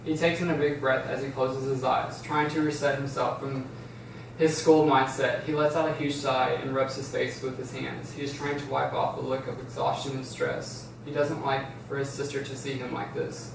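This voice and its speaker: A man's voice: mid-range voice, level tone, normal speed, gravelly. A man reading scripted stage directions aloud at a table read, sometimes stumbling and restarting. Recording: very echoey room, distant mic, background hiss.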